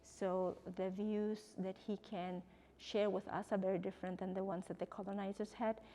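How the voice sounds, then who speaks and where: A woman from Ecuador with an Ecuadorian Spanish accent, explaining her exhibition as an artist and professor in measured, hesitant phrasing. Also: mid-range voice, level tone, warm tone, slight background noise. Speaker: artist and art professor presenting own exhibition.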